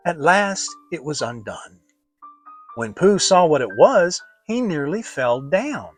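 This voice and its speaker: A man narrates a children's story as a dramatic reading. His voice sits fairly high for a man and swings widely in pitch, while the pace stays unhurried. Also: big pitch swings, soft background music. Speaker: audiobook narrator.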